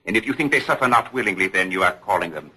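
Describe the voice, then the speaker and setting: A man's voice: lowish voice, lively intonation, fast talker, pushed and bright, slightly rough, exaggerated voice, poor audio. An actor playing a stern, relentless prosecutor at a witch trial, questioning formally and insistently in old radio drama style. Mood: accusing, insistent. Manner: pressing, formal interrogation.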